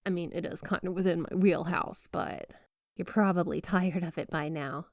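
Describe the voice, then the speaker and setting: A woman's voice: on the low side, fairly even intonation, moderate pace, no room sound, studio quality, natural voice. A woman playing a flirty, obsessive yandere snow spirit wife in an ASMR roleplay, gushing, coy and sweetly doting. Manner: gushing, coy, playfully suggestive. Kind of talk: ASMR roleplay addressed to the listener.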